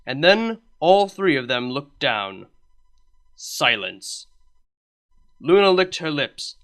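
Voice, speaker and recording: A man reading a comedic fan story aloud, narrating with a wry, deadpan touch. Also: a little high, takes it slowly. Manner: wry, deadpan delivery with lively emphasis. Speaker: fan fiction reader.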